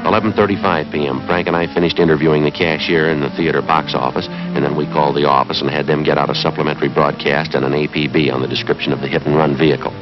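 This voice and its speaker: A man's voice: extremely low pitch, fairly even intonation, fast, few pauses, over music. A man in his thirties playing a terse, matter-of-fact police detective in clipped 1950s procedural style. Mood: businesslike, deadpan. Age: 30s. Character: terse, businesslike male police detective narrating his case. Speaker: detective and narrator. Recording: dull 1950s film soundtrack.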